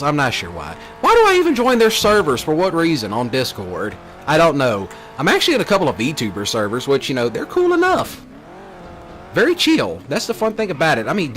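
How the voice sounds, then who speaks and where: A man's voice: mid-range voice, a bit bright. A man, a folksy gaming channel host rambling casually in a teasing rant. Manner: folksy, teasing, mocks others in put-on quoted voices. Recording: clear background noise, car engine sounds. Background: vehicle sounds from a driving video game.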